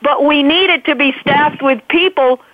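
A woman with a New England accent speaks in a fairly high voice and presses her argument hard, stacking point on point. She comes through a thin, tinny phone line with a dry, close sound. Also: no room sound. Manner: emphatic, fast-flowing, piles up points. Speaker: guest advocate. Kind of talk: earnest argument on a call-in show.

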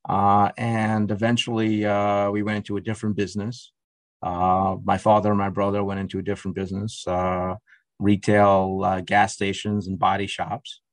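A man's voice: on the low side, monotone, normal speed, no room sound. A middle-aged man, a guest in a conversational interview, talking hesitantly with many fillers and restarts. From Russia. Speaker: podcast guest.

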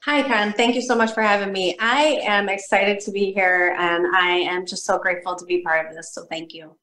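A woman's voice: medium pitch, steady tone, moderate pace, sharp tone, roomy sound. A woman from New Jersey and upstate New York, a guest author speaking in a friendly, grateful way and often repeating words. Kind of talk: casual interview chat.

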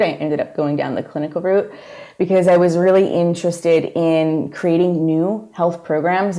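A woman with a New York accent talks through her work in a steady, plain-spoken way. Her voice sits low and has a mellow, soft tone. She is a guest speaking in a relaxed conversation. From New York area, USA. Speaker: guest psychologist. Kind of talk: casual interview conversation.

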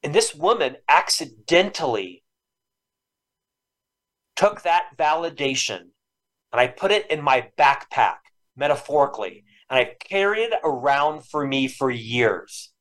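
This is a man in his fifties who has stuttered all his life. As a podcast guest he speaks in an earnest, reflective way, and his voice sits a little high for a man. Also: animated, measured pace, a bit bright, low-quality recording. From Florida, US. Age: 50s. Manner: lifelong stutterer, earnest and emotional. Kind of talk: reflective storytelling interview.

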